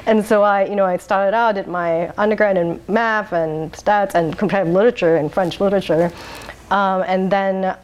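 A woman from Singapore, talking through her life in a rambling oral history interview, laughing often and pausing on um's. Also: medium pitch, steady tone, rough recording. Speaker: interviewee, wine bar owner.

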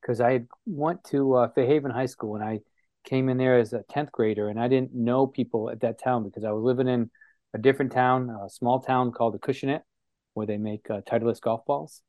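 A man's voice: medium pitch, steady tone, mellow, dry recording. Reflective man from New Bedford. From New Bedford, Massachusetts, US. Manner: reflective, with many fillers and pauses. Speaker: guest. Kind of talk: recounting his life story in an interview.

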